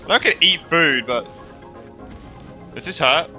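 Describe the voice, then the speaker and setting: A man's voice: on the high side, a little slow, bright, forceful tone. A young man giving casual, sweary game commentary as a streamer, blurting out his reactions. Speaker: gaming streamer. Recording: game music behind the voice.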